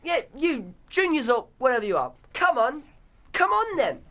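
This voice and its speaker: A woman's voice: high-pitched, sing-song, normal speed, fairly bright tone. A woman playing an arrogant, bossy schoolboy choir prefect in a radio play, imperious and scornful. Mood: commanding.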